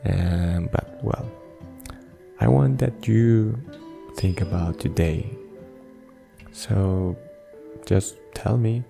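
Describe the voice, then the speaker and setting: A man speaking in a soft-spoken ASMR style, gently and hesitantly guiding relaxation as a personal therapist. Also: sits low, very slow, vocal fry, long pauses. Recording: soft guitar music behind the voice.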